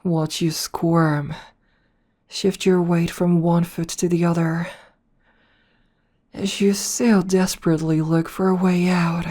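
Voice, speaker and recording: A woman voicing a haughty, seductive noble vampire princess in an ASMR roleplay, teasing and condescending with a hushed, breathy delivery. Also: fairly low pitch. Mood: mocking. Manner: teasing, condescending, softly purring.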